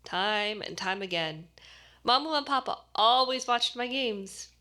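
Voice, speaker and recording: A woman actor reading a short story aloud as expressive scripted narration. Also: a little high, unhurried.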